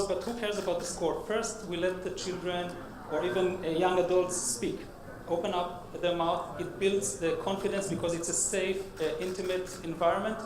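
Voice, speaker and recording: Hebrew-accented guy doing a startup pitch, lots of uhs and restarts, kinda high voice, echoey noisy hall. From Israel. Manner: halting, many uh fillers and restarts. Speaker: startup CEO presenting. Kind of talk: conference pitch. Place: conference hall stage.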